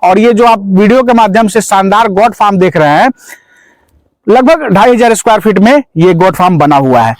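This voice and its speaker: A man's voice: high-pitched, animated, moderate pace, no echo, rough recording. A man hosting a farming video, explaining things and summing up as he presents and interviews at a goat farm. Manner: explanatory, repeats and sums up answers. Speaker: host of a farming video channel.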